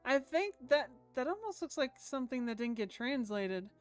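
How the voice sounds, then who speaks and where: A woman's voice: high-pitched, very animated, moderate pace. A woman streaming a visual novel, chatting informally with viewers and reading text aloud, mildly sweary. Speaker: game streamer. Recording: music playing behind the voice. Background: background music.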